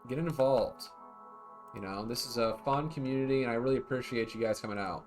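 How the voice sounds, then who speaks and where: A man's voice: mid-range voice, fairly quick, a little mellow, soft background music. A man streaming a zoo-building game, chatting casually and rambling to his viewers as he plays. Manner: laid-back, rambling, talks to chat. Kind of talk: casual livestream chat.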